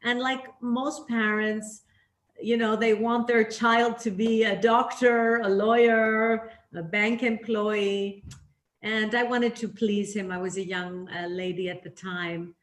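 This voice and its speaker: A woman's voice: medium pitch, level tone, takes it slowly. A middle-aged woman raised in Canada, telling her career story as an interview guest in a candid, reflective way with frequent fillers and restarts. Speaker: interview guest, leadership trainer. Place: living in Greece. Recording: echoey room.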